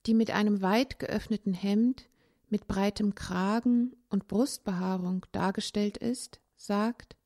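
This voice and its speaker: A woman reading a literary essay aloud in a measured, contemplative way, with pauses between phrases. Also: mid-range voice, steady tone, dark tone. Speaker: narrator, language teacher.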